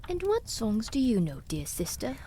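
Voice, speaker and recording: A woman's voice: a little high, huge pitch swings, moderate pace, breathy edge, full character voice. A woman playing a sly, precocious, politely mocking young boy in a gothic audio drama. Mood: sly, smug. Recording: loud music behind the voice.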